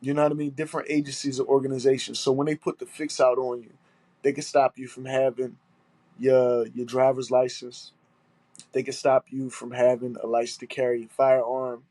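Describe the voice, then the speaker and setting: A man with a blocked, stuffy nose holds forth alone on his podcast. He sounds aggrieved and hammers each point through repetition, with rough language thrown in. His mid-pitched voice sits close and dry, with no room sound. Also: flat delivery, moderate pace, mellow, dry recording. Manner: aggrieved, emphatic, repeating himself, swearing. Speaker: podcast host. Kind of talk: solo monologue podcast.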